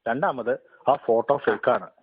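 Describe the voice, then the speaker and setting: A man's voice: mid-range voice, normal speed, breathy edge, no echo. A man making a formal complaint over the telephone, polite and deferential but insistent. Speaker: temple head priest, complainant caller. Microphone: telephone call. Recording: tinny narrow phone line.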